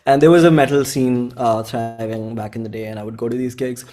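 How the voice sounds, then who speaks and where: A young man with an Indian accent and a medium-pitched voice chats in an interview. He rambles informally, sprinkles in slang and often voices his own thoughts as little quotes. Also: fairly even intonation, brisk, dark tone, faint music behind. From Bhopal, India. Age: 20s. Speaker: indie musician and songwriter being interviewed. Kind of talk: casual interview chat.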